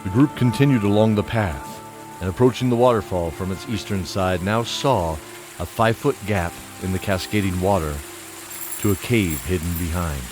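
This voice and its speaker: A man's voice: deep voice, moderate pace, music in the background. A man reading scripted narration for a fantasy audio drama in a descriptive storytelling manner.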